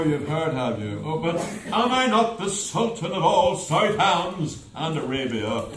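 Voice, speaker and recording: A man's voice: sits high, heavily acted. An older man playing a pompous, grumpy sultan in an amateur stage pantomime, declaiming his lines. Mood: indignant, commanding. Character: grumpy, pompous sultan burdened with unmarried daughters. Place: village hall stage, indoors. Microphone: distant camera in the audience. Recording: echoey village hall, noisy.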